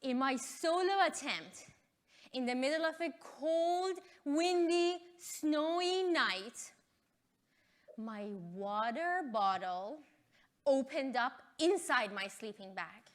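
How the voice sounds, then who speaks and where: A woman, Farsi speaker, telling a story slowly and deliberately in a stage talk. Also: high-pitched, lively intonation.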